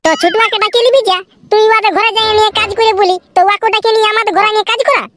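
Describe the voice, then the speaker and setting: A man voicing a bossy, practical village mother in a comic cartoon, pitched up, nagging in a Purulia Bengali accent. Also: fairly even intonation, very fast, fairly bright tone. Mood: instructing. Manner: instructing, nagging.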